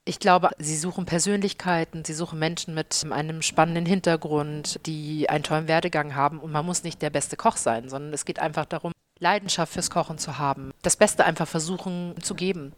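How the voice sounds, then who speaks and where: A woman in her thirties with a Hamburg accent, a chef and restaurant owner answering interview questions with lively enthusiasm. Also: sits low, a little fast, few pauses. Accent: Hamburg German. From Hamburg, Germany.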